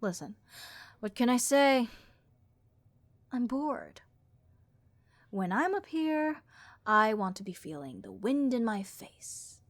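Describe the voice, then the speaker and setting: A woman voicing a bored, snarky harpy copilot in a comedic audio roleplay, with a raspy vocal fry and overdramatic, deadpan complaints. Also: a little high, slow, pauses often, no room sound. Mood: bored, complaining. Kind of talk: comedic audio roleplay monologue addressed to listener.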